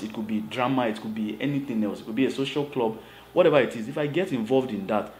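A man's voice: mid-range voice, a little mellow, slight background noise. A man in his thirties giving earnest advice to camera from a home office, with an emphatic, preachy manner and frequent "right?" tags. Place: home office with shelves, indoors. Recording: roomy sound with faint ambience.

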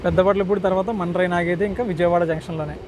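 Male travel vlogger, Andhra Telugu accent, high-pitched and chatty, casual delivery over clear train and outdoor noise. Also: steady tone, very fast. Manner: chatty, addresses viewers directly. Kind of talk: casual travel vlog commentary. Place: on board a moving train. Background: train rumble and outdoor ambience.

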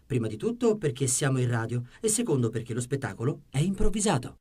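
A man's voice: medium pitch, very animated, fast talker, very noisy. A man hosting a radio show in a scripted announcer style, presenting and addressing listeners.

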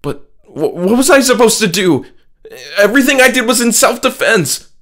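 A man's voice: high voice, big pitch swings, moderate pace, fairly bright tone, pitched above the performer's own voice. A man voicing a frightened, injured male friend who speaks in agitated, insistent protest in a horror audiobook. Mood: defensive. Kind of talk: horror audiobook character dialogue.

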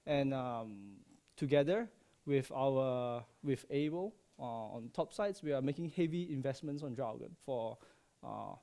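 A man's voice: medium pitch, expressive, measured pace, vocal fry, mellow. A man in his thirties, from Malaysia, giving a friendly conference talk in a large lecture hall, with plenty of ums and light jokes. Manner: friendly, joking, many ums and uhs. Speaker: subsea processing engineer. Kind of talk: conference presentation. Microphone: headset.

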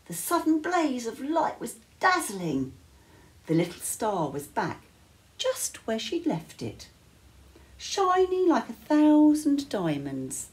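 An older woman reading a children's bedtime story aloud with measured, dramatic phrasing and pauses. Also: mid-range voice, a little mellow, poor audio. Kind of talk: expressive picture-book read-aloud. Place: small living room, indoors.

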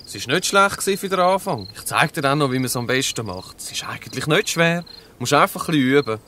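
A man's voice: on the high side, animated, fast, bright, forceful tone, slight background noise. A man playing an easygoing, hungry gang boy, matter-of-fact, in a Swiss German audio drama. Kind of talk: dialect audio drama acting.

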